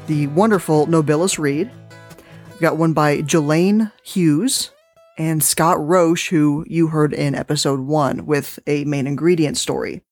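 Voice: medium pitch, no echo. A podcast host talking casually and with enthusiasm, introducing stories in an informal way. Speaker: podcast host and story reader. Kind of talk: casual podcast hosting and story reading.

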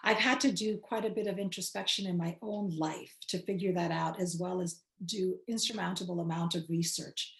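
A woman from Queens in New York City, talking as a guest in a measured, explanatory way, slowing down to lay out each step. Her voice sits at an ordinary middle pitch, and the room around her rings with a noticeable echo. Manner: measured, explanatory, slows for key points. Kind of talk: reflective interview answers. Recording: roomy echo.

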